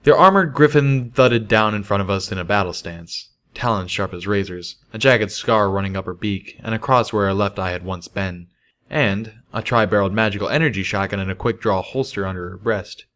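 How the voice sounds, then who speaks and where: A man with a fairly low voice narrates a first-person story. He reads from a script as an audiobook narrator. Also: brisk, a bit bright. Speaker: audiobook reader of fan fiction.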